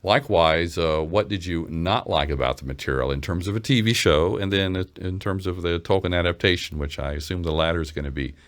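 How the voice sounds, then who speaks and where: A man hosting a casual interview, asking questions in a halting, rambling way. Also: deep voice. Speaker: interview host.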